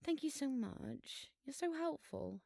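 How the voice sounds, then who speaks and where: A woman playing a bossy, sardonic, excitable schoolgirl in an audio drama, with a theatrical, sarcastic manner. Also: sits high, a little slow, vocal fry, background noise, exaggerated voice. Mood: sweetly scheming.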